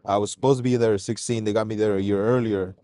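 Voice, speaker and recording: Low-voiced man from Mexico, slangy. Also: fast, no echo. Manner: relaxed, slangy, calls host "bro". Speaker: podcast guest. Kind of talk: casual podcast interview.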